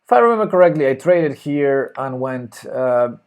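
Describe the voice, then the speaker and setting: A man's voice: medium pitch, animated, normal speed, clear, resonant tone. A man with a Spanish accent streaming live chess, thinking aloud and hesitantly muttering his moves. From Spain. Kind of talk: live chess commentary while playing.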